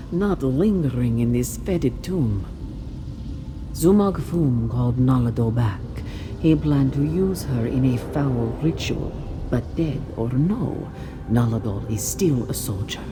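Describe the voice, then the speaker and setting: Casting: grave feline soldier's ghost for a game, measured and slow, weary dignity. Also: female voice, sits low, noisy background. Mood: resigned, solemn. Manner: grave, measured, speaks of self in third person. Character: solemn female cat-folk soldier's spirit, weary and proud.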